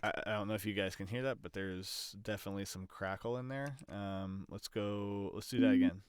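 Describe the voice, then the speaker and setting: Low-pitched man, clear dry tutorial voice. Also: brisk, no room sound. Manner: hesitant, thinking aloud. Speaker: audio production tutorial presenter. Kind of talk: casual explanatory screen demonstration.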